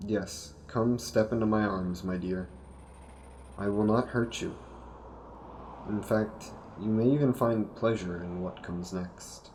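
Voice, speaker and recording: A man's voice: fairly low pitch, a little hiss or hum. A man playing a courtly, seductive vampire in an intimate roleplay audio, speaking formally and coaxingly to the listener. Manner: formal, gentlemanly, coaxing, unhurried. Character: courtly, seductive male vampire, hungry and predatory.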